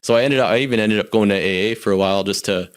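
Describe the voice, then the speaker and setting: Man, Canadian accent, forties, lowish voice, candid, reflective, casual storytelling. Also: racing, smooth. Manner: candid, reflective, frequent restarts. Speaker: podcast guest.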